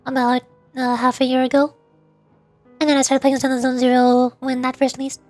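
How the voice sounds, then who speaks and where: A high-pitched woman talking casually on a livestream, rambling from one thought to the next and replying to her viewers as she goes. Faint music plays quietly behind her voice. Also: level tone, fairly quick. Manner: rambling, chatty, answers viewers' questions. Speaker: streamer.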